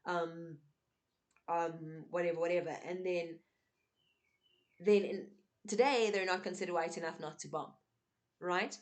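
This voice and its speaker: A young woman from South Africa talks straight to camera with earnest emphasis, her voice sitting a little low. English is not her first language, and she keeps checking in with her listeners between points. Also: fairly even intonation, measured pace, pauses often. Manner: earnest, emphatic, with frequent "um" and "right?". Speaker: vlogger. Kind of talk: unscripted commentary to camera.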